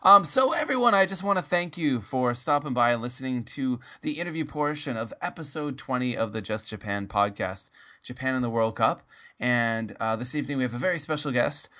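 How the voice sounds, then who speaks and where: A Canadian man hosts a relaxed podcast interview in a bright, forward voice. He rambles a bit, restarting his sentences and filling pauses with "um" and "uh.". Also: mid-range voice. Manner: rambling, many fillers and restarts. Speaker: podcast host. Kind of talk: casual interview.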